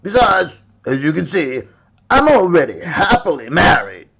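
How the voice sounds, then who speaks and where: A man's voice: fairly high pitch, very animated, a little slow, a bit bright, slightly rough, pitched above the performer's own voice, heavily acted, rough recording. Man voicing an indignant, swooping storybook brown bear. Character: indignant, happily married male brown bear. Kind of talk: storybook read-aloud character voice.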